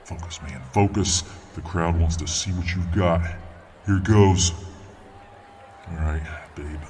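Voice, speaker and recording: A man playing a dominant, cocky fireman boyfriend in an ASMR roleplay, a tough, devoted muscleman with a gravelly, swaggering, grunting delivery. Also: deep voice, fairly even intonation, a little mellow, distant mic, slight background noise. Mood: cocky, pumped. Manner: breathy grunting, swaggering, drawn-out exertion. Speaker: audio roleplay performer.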